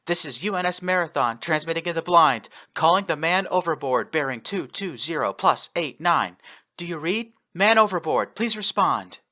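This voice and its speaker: An urgent young woman officer, voiced by a male narrator, pitched well above his own voice and pressing hard. She calls out orders and pleads in a raised, bright tone in a storybook reading. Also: moderate pace, few pauses.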